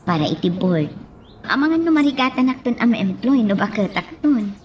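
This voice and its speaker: Female actor as dutiful young woman, Ilocano accent, lightly high-pitched, earnest, radio drama. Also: lively intonation, moderate pace, muffled recording, slight background noise, natural voice. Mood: earnest, resolved. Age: young adult.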